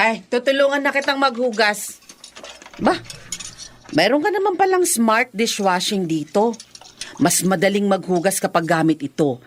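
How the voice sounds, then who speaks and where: An actress playing a chatty, inquisitive and reassuring friend in a radio drama. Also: female voice, medium pitch, expressive, moderate pace, long pauses, faint music behind, close to an everyday voice.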